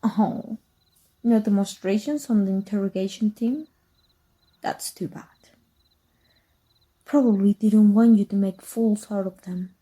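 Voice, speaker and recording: A sly former magic teacher with dark powers, played by a woman from Mexico. Her voice is very dark and mellow, breathy at the edges, and she drifts along at an unhurried crawl. She half-whispers, low and teasing, close and intimate in the ASMR manner. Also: rough recording. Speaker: voice actor. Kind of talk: ASMR roleplay.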